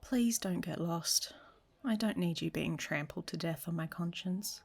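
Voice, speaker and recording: A woman's voice: on the low side, measured pace, vocal fry, darkish tone, slightly rough, exaggerated voice. A woman playing a worldly, flirtatious traveler in an audio roleplay, speaking to the listener in a teasing, often half-whispered way. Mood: playful bossy.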